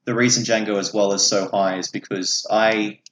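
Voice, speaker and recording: A man's voice: on the low side, little pitch movement, normal speed, a bit bright. A young man co-hosting a podcast with casual, jokey, sweary film banter. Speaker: podcast co-host. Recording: echoey room.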